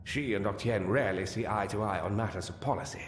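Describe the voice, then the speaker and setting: A man playing a grave, persuasive counsellor in a fantasy game, speaking deliberately and formally. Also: lowish voice, a little hiss or hum, close to an everyday voice. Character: grave male counsellor and strategist, measured and persuasive. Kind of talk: scripted fantasy game dialogue. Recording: echoey hall, music behind.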